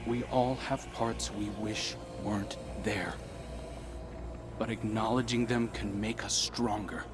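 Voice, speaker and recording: An actor playing an earnest young male hero in a game cutscene, sincere, vulnerable and resolute in a measured way. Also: fairly low pitch, fairly even intonation. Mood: vulnerable resolve. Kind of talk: video game cutscene dialogue. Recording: loud music behind the voice.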